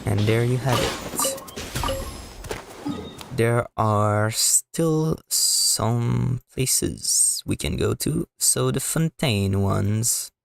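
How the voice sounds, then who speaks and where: A man giving casual, halting and rambling live commentary as a video game streamer, often repeating words. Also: medium pitch, expressive, gravelly, slight background noise.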